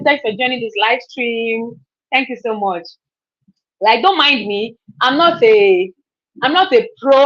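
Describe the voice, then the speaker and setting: A woman, chatting casually on a live stream, repeating herself and laughing often. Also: fairly high pitch. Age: 30s. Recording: dull lo-fi stream audio.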